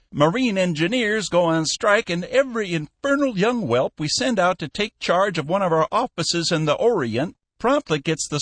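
A man voicing a cantankerous, bossy old shipping tycoon in an audiobook, shrill, scolding and full of bluster. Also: fairly bright tone, no echo. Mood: irate, sarcastic.

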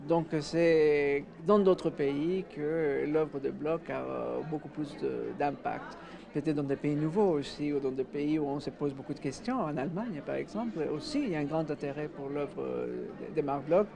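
A man's voice: a little high, moderate pace, creaky, crowd noise. A middle-aged man from Mexico, a native Spanish speaker, giving a reflective interview answer indoors. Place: indoors, exhibition room.

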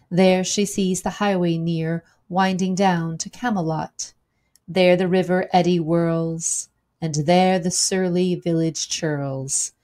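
A woman reading poetry aloud in a dramatic recitation, with measured, rhythmic phrasing that falls away at the ends of lines. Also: lowish voice, warm tone. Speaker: poetry reader.

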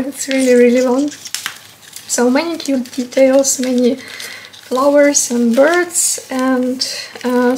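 Female vlogger, high pitch, unhurried casual haul commentary, hesitant and unscripted. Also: darkish tone, slight background noise, low-quality recording.

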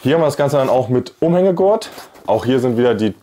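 A man's voice: mid-range voice, animated, fast talker, dark tone. A man, chattily presenting and demonstrating products in a casual video review on a clip-on mic. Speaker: YouTube host, product reviewer. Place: small indoor room with plain wall. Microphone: clip-on lavalier on shirt.

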